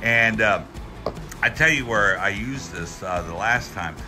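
A middle-aged American man giving a casual, folksy hands-on product review, talking in a halting, rambling way with repeated words. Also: fairly low pitch, lively intonation, over music. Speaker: tool review channel host. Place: indoors at a workbench.

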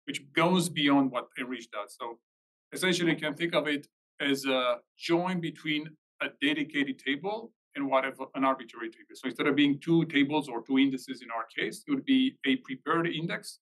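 A man's voice: medium pitch, normal speed, fairly bright tone, low-quality recording. A man giving an informal technical explanation at a live meetup, rephrasing as he goes. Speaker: technical presenter.

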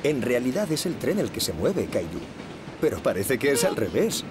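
Man acting lively, patient cartoon dad. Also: medium pitch, moderate pace, very noisy, natural voice. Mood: cheerful, patient. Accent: Castilian Spanish. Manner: gentle, explanatory, encouraging. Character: patient, cheerful young dad of a small boy. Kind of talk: children's cartoon dubbing.

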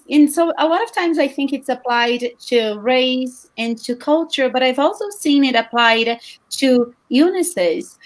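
This is a woman from Brazil with a fairly high voice, speaking as an author on a video-call panel. She thinks aloud in a measured, hesitant way and pauses to rephrase herself. She comes through a plain laptop mic at home. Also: rough recording. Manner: thoughtful, hesitant, self-correcting. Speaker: children's book author, panelist. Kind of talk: panel discussion answer. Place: home room. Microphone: laptop webcam mic on a video call.

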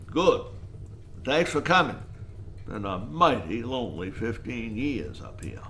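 A wary old man of the coast in a radio drama. He talks slowly in a folksy, ruminative way, with a lively rise and fall. Faint ambience sits behind him. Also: mid-range voice, a little hiss or hum. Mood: grudging. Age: older. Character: weary, wary old male lighthouse keeper, war veteran. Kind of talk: audio drama acting.

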